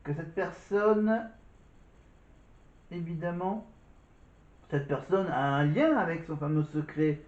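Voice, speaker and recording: Man, casual vlog commentary, rambling, slightly high voice, echoey muffled sound. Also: slow, long pauses, a little mellow. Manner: rambling, repeats filler words. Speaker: vlogger commenting on a reality show. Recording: roomy, muffled recording.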